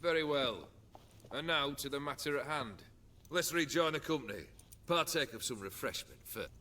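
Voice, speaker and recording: A man's voice: on the high side, animated, normal speed. A man playing a lordly, world-weary chieftain of a rowdy band in a fantasy video game, speaking with haughty eloquence. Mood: lordly ease. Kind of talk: video game cutscene acting. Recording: loud crowd chatter behind.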